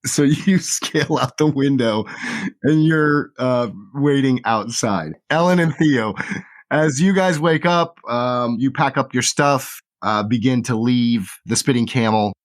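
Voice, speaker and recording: A man narrating a tabletop game as game master, relaxed and full of fillers. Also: medium pitch, unhurried.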